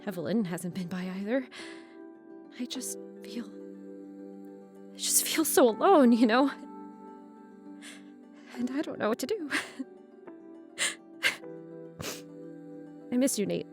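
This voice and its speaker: High-pitched, distraught young stepdaughter played by an actress. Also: female voice, animated, a little slow, pauses often, music in the background. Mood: tearful pleading. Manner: sarcastic, confrontational, emotionally raw. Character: distraught, suspicious, hot-tempered young stepdaughter. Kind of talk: audio drama acting.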